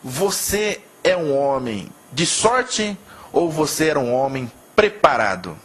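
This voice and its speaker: A man with a Brazilian accent hosting an interview, asking questions in an informal, slightly halting way. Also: on the high side, sing-song, a bit bright, breathy edge. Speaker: interview show host.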